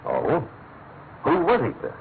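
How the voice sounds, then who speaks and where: A man's voice: on the high side, wildly swooping pitch, slow pace, creaky, full character voice. A man playing a suave, self-assured secret agent in a radio drama, polite and cool. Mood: curious. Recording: tinny phone-like audio with faint noise.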